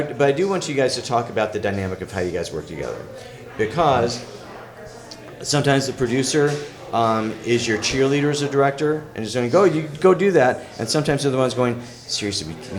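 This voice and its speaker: A man hosting a casual live question-and-answer, halting and repeating words as he forms his questions. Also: medium pitch, animated. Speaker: interviewer, panel host.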